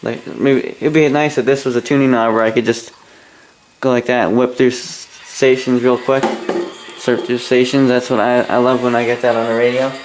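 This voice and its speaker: Canadian man, even monotone delivery, casual rambling reviewer, grumbly, faint background noise. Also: mid-range voice, normal speed. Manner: rambling, grumbling asides, mild swearing. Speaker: radio hobbyist reviewer.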